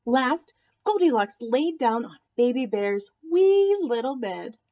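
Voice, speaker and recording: Slow-paced woman reading a storybook aloud. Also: a little high. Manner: expressive, deliberate storytelling. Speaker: art teacher reading aloud to children. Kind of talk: storybook read-aloud narration with asides.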